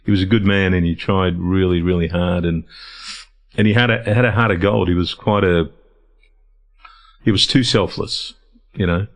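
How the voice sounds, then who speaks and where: A middle-aged man talks in a deep voice. He speaks reflectively in a casual interview and often restarts his sentences or repeats words. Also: fairly even intonation, moderate pace, pauses often. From Lebanon, raised in Australia. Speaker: guest, founder of a men's health organisation.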